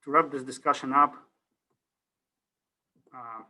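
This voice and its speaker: A man's voice: mid-range voice, steady tone, slow pace, bright, forceful tone. A middle-aged man from Ukraine, a native Russian speaker, giving a casual university lecture over a video call, rambling with many fillers and restarts.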